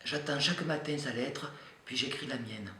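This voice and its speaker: A quiet, trailing voice gives a lonely letter-writer waiting for a phone booth, as a man reads the part. The tone is bright and forward. It is recorded in a roomy space with a faint hum. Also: mid-range voice, normal speed, natural voice. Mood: wistful. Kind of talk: stage reading.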